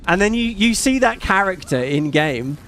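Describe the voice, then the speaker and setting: Middle-aged british guy, fairly high voice, rambly and casual, swears a bit. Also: expressive, moderate pace, fairly bright tone, a little hiss or hum. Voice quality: fairly high-pitched, not macho. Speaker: streamer. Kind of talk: casual live-stream chat.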